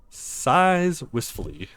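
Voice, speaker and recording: A man doing a let's play, reading a visual novel aloud in an expressive, playful way. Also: high-pitched, no room sound. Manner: expressive, voices characters, laughs often.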